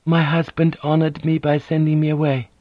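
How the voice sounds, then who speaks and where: A man voicing a gentle, composed Japanese noblewoman who speaks softly and formally. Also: on the high side, steady tone, moderate pace, dry recording, highs cut off, pitched above the performer's own voice, lightly acted. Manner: soft, formal, careful speech.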